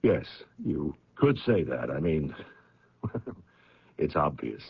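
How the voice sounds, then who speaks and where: A man playing an evasive, flustered suspect under police questioning in a radio drama, halting and stammering as he hedges. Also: a bass voice, very animated, creaky, breathy edge, exaggerated voice. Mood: defensive. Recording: dull old radio recording.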